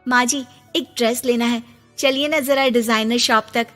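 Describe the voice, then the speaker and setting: A woman's voice: fairly high pitch, fairly even intonation, normal speed, sharp tone, long reverb tail, soft background music. A woman voicing a put-upon, resentful young daughter-in-law in a cartoon story, sarcastic and complaining. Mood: pleading.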